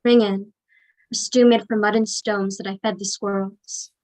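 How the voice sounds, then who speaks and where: A woman's voice: mid-range voice, level tone, moderate pace, darkish tone, lightly acted, poor audio. A woman playing a wistful daughter reliving childhood, speaking lyrical, chant-like lines in a staged play reading. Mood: dreamy, nostalgic. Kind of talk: poetic stage monologue.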